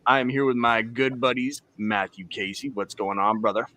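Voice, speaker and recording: A man's voice: mid-range voice, moderate pace, sharp tone. A man hosting a casual sports podcast, joking and teasing his co-hosts as he steers the talk. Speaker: podcast host.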